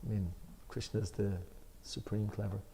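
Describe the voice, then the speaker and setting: A man leading a scripture class in a temple, reading commentary aloud with sudden loud stresses and then explaining in a relaxed, chatty way. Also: on the low side, moderate pace, gravelly. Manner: reads with sudden loud emphasis on key words. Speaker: Gita class speaker.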